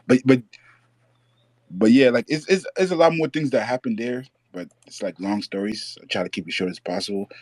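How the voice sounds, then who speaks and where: A man's voice: medium pitch, fairly quick, a little mellow, no echo. A man chatting as a podcast guest, rambling with many fillers and restarts. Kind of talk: casual podcast chat.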